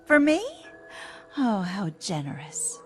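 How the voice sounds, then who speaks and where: A woman's voice: medium pitch, big pitch swings, slow pace, soft background music. A woman playing a coy, teasing secretary in a video game, playful and easily bribed. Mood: coy, delighted.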